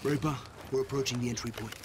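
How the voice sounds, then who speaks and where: An actor playing a hard-driving space marine squad leader in a shooter game, giving clipped, urgent orders in a commanding manner. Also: male voice, mid-range voice, steady tone, fast talker, natural voice. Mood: tense, businesslike. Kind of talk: military shooter game dialogue. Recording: loud music and vehicle noise behind.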